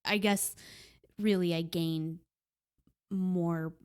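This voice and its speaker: Young adult woman, soft, hesitant podcast guest with a slightly low voice. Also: steady tone, very slow, smooth. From Puerto Rican heritage. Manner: soft-spoken, hesitant with many ums. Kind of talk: casual conversation.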